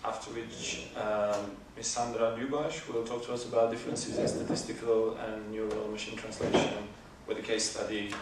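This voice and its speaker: A man chairs a conference session in a lowish, flat voice, pausing on "um" between phrases. He sounds distant and echoey in the hall, with noticeable background noise. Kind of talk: conference moderating. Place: large lecture hall.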